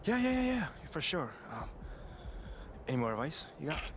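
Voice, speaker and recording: Game cutscene: young rookie hooper, casual, easygoing, noisy capture. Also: male voice, a little high, sing-song, normal speed. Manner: casual, laid-back, agreeable. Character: young male rookie pro basketball player, easygoing. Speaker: rookie basketball player. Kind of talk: video game cutscene acting.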